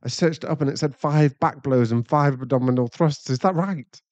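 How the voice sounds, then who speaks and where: Man acting a mellow British boyfriend. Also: on the high side, brisk, dry recording, dull audio, natural voice. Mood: anxious, shaken. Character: caring young boyfriend, chatty and easily flustered. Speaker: voice actor in an ASMR boyfriend roleplay. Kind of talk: intimate ASMR boyfriend roleplay acting.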